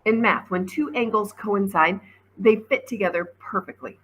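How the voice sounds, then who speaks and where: A woman's voice: mid-range voice, normal speed, a bit bright, breathy edge, rough recording. A woman voicing a thoughtful, wary, slightly awkward girl in a storybook, reasoning aloud with some hesitation.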